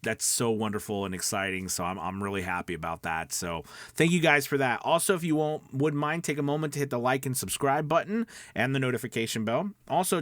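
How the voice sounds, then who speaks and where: A man's voice: mid-range voice, a bit bright, dry recording. Man coaching casually, close dry sound. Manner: conversational and encouraging, with emphatic pauses and repeated check-in tags. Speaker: host of a voiceover coaching show. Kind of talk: casual instructional vlog talk to camera.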